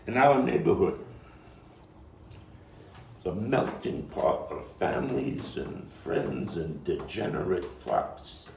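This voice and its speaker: An actor playing a weary, foul-mouthed, combative neighborhood philosopher in a halting, emphatic stage monologue, his voice gravelly. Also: low-pitched, sing-song, a little mellow, heavily acted. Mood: brooding. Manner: rambling, profane, halting, emphatic street talk. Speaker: playwright and actor. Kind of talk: theatrical monologue at a table read. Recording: distant mic, roomy echo, faint noise.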